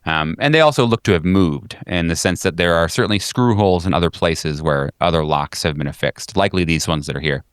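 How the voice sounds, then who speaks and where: A man narrating a tabletop horror game as game master, improvising in a conversational, halting, descriptive way. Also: sits low, smooth, no room sound, clean studio sound.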